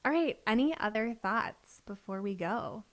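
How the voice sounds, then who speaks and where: A woman's voice: fairly high pitch, lively intonation, a little slow. A woman in her thirties hosting a podcast, talking casually with a guest and using lots of "like" fillers. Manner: chatty, frequent "like" fillers. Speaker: podcast host and business coach. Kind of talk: casual conversation.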